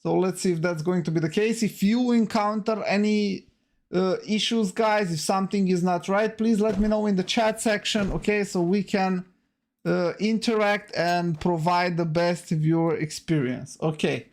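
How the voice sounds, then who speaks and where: A man's voice: sits high, steady tone, moderate pace, a little mellow. A man from Romania with a Romanian accent, hosting and casting a live esports stream. He talks to his viewers in a chatty way, repeats words and keeps adding "okay?" and "guys". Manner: hyping up the viewers. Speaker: esports caster and stream host. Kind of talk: solo live stream commentary.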